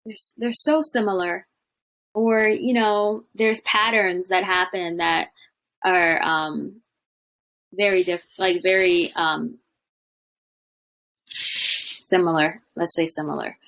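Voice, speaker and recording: A woman's voice: lowish voice, fairly even intonation, measured pace, gravelly, a bit bright, rough recording. A young woman, a language coach, chatting casually on a video call from a home room, with lots of fillers. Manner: chatty, many fillers, laughs often. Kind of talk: casual interview chat.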